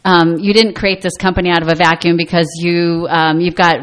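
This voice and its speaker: A woman's voice: lowish voice, little pitch movement, a little fast. A woman hosting a conversational radio interview in an upbeat, encouraging way. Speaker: radio show host.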